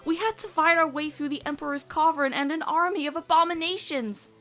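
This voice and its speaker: A woman's voice: sits high, a little fast, sharp tone, faint music behind. A woman voicing a devoted, earnest teenage girl in an emotional cartoon comic dub, pleading and faltering as she speaks. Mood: pained. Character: devoted, earnest teenage girl, fearful of losing loved ones.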